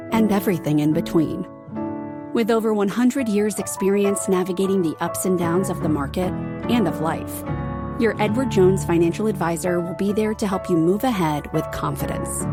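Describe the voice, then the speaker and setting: Female ad narrator, reassuring and measured, middle pitch, music behind. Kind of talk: scripted commercial narration.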